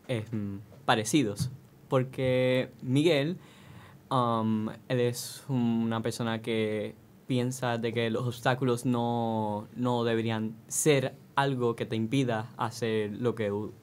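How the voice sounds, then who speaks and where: A young man answering casually in an interview, with halting pauses mid-sentence. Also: mid-range voice, a little mellow. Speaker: student voice actor, interview guest.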